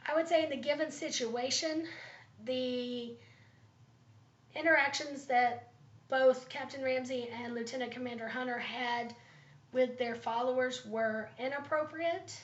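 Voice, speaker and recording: A woman student giving a class presentation, thoughtful and pausing often with ums, her voice slightly raspy from allergies. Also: sits high, fairly even intonation. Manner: thoughtful, with frequent ums and pauses. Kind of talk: class presentation, leadership analysis. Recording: roomy, dull lo-fi recording.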